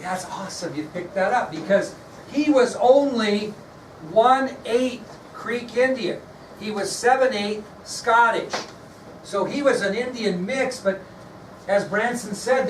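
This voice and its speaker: An American man lecturing as a history teacher, explaining things to his class and repeating key phrases for emphasis. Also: high-pitched. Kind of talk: classroom lecture with questions to students. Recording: echoey room, distant mic, background noise.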